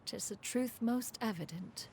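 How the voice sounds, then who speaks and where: Stately, creaky ancient crane immortal. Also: female voice, on the high side, unhurried, darkish tone, a little hiss or hum. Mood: tender, dignified. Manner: formal, stately, archaic diction. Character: proud, motherly crane immortal, ancient female master. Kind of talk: dramatic game voice acting.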